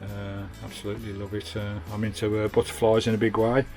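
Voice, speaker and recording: A man's voice: fairly low pitch, little pitch movement, a little fast, darkish tone. British man chatting, music behind. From United Kingdom. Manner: chatty, matey, peppered with British slang. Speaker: vanlife vlogger. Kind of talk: casual hands-on video tour and fitting commentary. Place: inside a small campervan.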